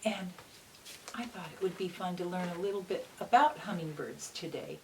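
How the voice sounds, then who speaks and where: A woman reading a picture book aloud to young children in a gentle, teacherly manner, often pausing to put questions to them. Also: fairly low pitch. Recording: distant mic with faint hiss.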